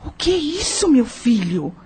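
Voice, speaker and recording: A woman playing a tender, anxious mother in a radio play, with a husky voice. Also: high-pitched, sing-song, a little slow, darkish tone, a little hiss or hum. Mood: worried, scolding.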